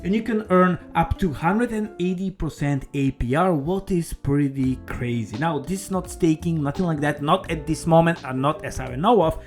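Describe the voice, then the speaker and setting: A man hosting a casual crypto video, talking eagerly in a slightly raised voice. He rambles in non-native English phrasing and keeps dropping "okay" and "all right." Faint guitar music plays softly behind him. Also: on the high side, moderate pace, hardly any pauses. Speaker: crypto YouTuber. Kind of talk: casual screen-share walkthrough.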